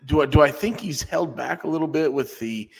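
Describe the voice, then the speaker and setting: A man's voice: a little high, a little fast, vocal fry, husky, big-room sound. A man co-hosting a wrestling review podcast in a casual, joking way. He makes folksy asides and often stops and restarts his sentences. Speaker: podcast co-host.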